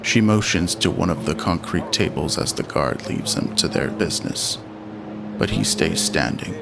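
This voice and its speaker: A man's voice: deep voice, animated, normal speed, creaky, music in the background. A man delivering scripted narration for an audio roleplay drama.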